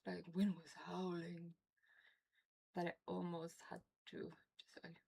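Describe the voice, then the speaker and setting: A woman's voice: on the low side, completely flat delivery, takes it slowly, vocal fry, dark tone, slightly rough. A woman from Norway with a Norwegian accent, chatting casually on a game stream with a toothache, rambling and laughing often. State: has a toothache.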